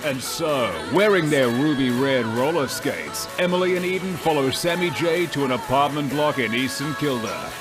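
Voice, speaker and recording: A man playing a storybook narrator in a radio sketch, with measured, dramatic delivery. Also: mid-range voice, loud music behind the voice, natural voice. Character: storybook-style narrator of a mock adventure.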